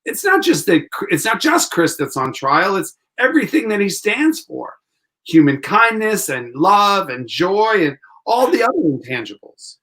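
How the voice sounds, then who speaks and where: Actor as earnest idealist lawyer, animated delivery. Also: male voice, high voice, normal speed, full character voice. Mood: earnest, hurt. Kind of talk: 1940s radio play acting.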